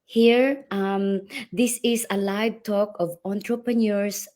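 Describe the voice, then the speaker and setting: A woman's voice: medium pitch, steady tone, slow, darkish tone. Woman hosting an online chat. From Australia. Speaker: interviewer and host. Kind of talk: casual live video interview.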